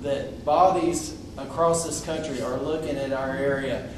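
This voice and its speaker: A man speaking loudly and bluntly to a public meeting, with frequent restarts. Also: medium pitch, steady tone, unhurried, recorded from a distance. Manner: loud, outspoken, with frequent restarts. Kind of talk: impromptu public address. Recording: echoey room recorded from afar, noisy.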